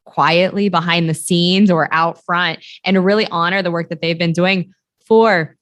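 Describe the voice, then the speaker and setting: A woman hosting a podcast interview, explaining things in a steady, smooth way. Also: fairly low pitch, normal speed, dry recording. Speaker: podcast host. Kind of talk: conversational interview.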